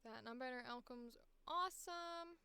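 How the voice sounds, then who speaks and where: A woman's voice: sits high, moderate pace, sharp tone, clear, resonant tone, dry recording. A woman voice actor chatting casually on a livestream, reading casting calls aloud and musing to herself as she goes. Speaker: voice actor streaming auditions.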